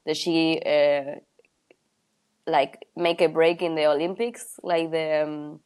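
A woman's voice: low-pitched, fairly even intonation, slow, a little mellow, smooth. A woman in casual conversation who talks bluntly, swears freely, stops to search for words and laughs through her stories. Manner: laughs while telling stories. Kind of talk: casual chat.

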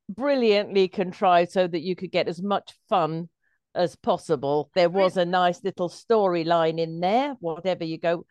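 A woman chats casually as a podcast guest. Her voice is on the low side, recorded very dry. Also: a little mellow, dry recording. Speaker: guest reviewer, performer. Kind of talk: casual chat about a play.

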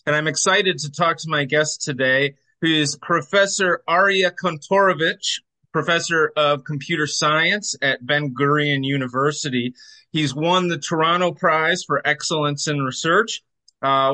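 A man's voice: a little high, bright, forceful tone. A man hosting an interview podcast, reading introductions and putting questions to his guest.

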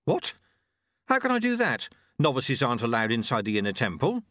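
A man's voice: medium pitch, huge pitch swings, fairly quick, a bit bright, no room sound, clean studio sound. A man voicing an earnest, nervous young novice monk in an audiobook, hesitant, deferential and easily awed. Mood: awed, flustered.

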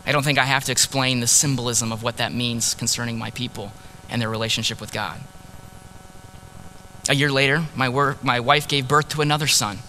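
A man preaching in the first person as a grieving ancient prophet, earnest and sorrowful, dropping to a hush on key words. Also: mid-range voice, fairly even intonation, fairly quick, a little hiss or hum, lightly acted, rough recording. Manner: earnest, sorrowful storytelling, drops to hushed tones on key words. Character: grieving ancient male prophet, heartbroken husband. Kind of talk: dramatic first-person sermon monologue.

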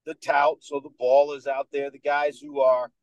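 A man in his thirties with a Dominican American accent, speaking as a podcast guest in a confident, animated way. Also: a little high, normal speed, no room sound, rough recording. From United States, New York. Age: 30s. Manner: confident, animated storyteller who acts out other people's lines. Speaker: guest, sports radio host. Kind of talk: casual podcast interview.

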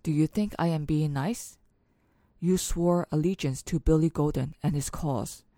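A cool revolutionary agent in a western radio drama, with a mellow, shadowed voice in a very dry recording. The delivery is measured and imperious, that of someone used to giving orders. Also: female voice, low voice, dry recording. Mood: cold, commanding.

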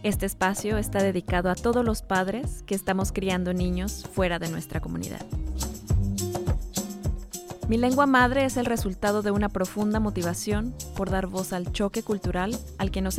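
A woman hosts a podcast interview. Her pitch sits in a middle range, and she asks her questions in a measured, friendly way, often restarting her sentences. Faint music plays beneath her at times. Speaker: podcast host.

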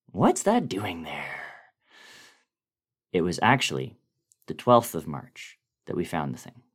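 Man reading as deep-voiced, troubled project manager. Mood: troubled, questioning. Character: uneasy, sleepless male construction project manager confessing. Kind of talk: dramatic first-person horror story reading.

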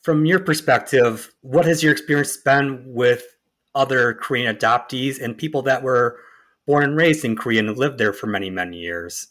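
A man hosting a discussion who puts measured, friendly questions to a guest. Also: mid-range voice, a bit bright. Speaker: host. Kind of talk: interview questions.

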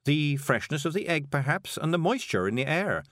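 Audiobook character: male reader as kindly female witch teacher, higher pitch, patient, cheerful, lilting delivery. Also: very animated, moderate pace, a bit bright, no room sound, studio quality. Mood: explaining. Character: cheerful, patient older witch woman, gentle mentor.

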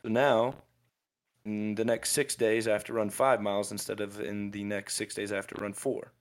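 A man's voice: lowish voice, level tone, moderate pace. A man in his twenties co-hosting a casual podcast, giving rambling motivational advice with lots of restarts and self-corrections. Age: 20s. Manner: rambling, ranting, with frequent restarts. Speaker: podcast co-host.

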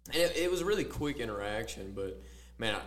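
A man co-hosting a faith podcast, telling stories in a casual, animated way with many fillers. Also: medium pitch, fast talker. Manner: storytelling, animated quotes, filler-heavy. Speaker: podcast co-host.

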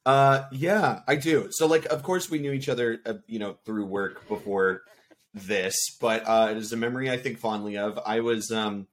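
A man's voice: on the low side, fairly even intonation, moderate pace. A man in casual podcast chat, talking in a meandering, reflective way.

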